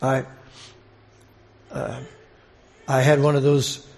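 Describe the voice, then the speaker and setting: A man's voice: medium pitch, flat delivery, slow, a little mellow. A man giving a conversational expository sermon as a preacher, posing questions to his listeners and repeating phrases for emphasis. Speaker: preacher teaching a congregation.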